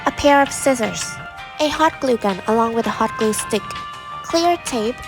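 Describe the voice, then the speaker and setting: A woman's voice: on the high side, expressive, normal speed, over music. A young woman reading a scripted how-to narration as a student presenter. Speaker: student presenter of a craft tutorial.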